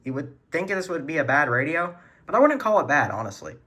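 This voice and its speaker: A man's voice: fairly high pitch, sing-song, a little fast, sharp tone. A man hosting a casual show-and-tell in his room at home, talking in an informal, earnest hobbyist way. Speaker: video host showing a weather radio collection.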